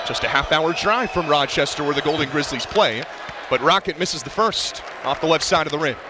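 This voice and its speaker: A man with a high voice calls basketball live over a loud arena crowd. He narrates in short, vivid bursts as a play-by-play announcer, with plenty of noise around him. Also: fairly quick. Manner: clipped, vivid running description. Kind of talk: live sports commentary. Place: courtside in a large indoor arena. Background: crowd and bouncing basketball.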